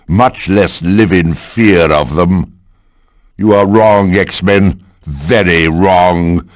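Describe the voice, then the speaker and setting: A man voicing a stern older mutant supremacist leader in a video game, lofty, measured and patronizing. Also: lowish voice, no echo, clean studio sound, full character voice. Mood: solemn. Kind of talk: video game villain voice acting.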